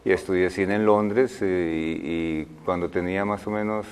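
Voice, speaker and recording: An older man speaks in a very low voice, weighing his words as an interview guest. He restarts phrases and leans on fillers while he thinks. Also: fairly even intonation, clear, resonant tone. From Colombia. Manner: thoughtful, halting, many fillers and restarts. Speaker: guest, film director. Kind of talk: interview answers.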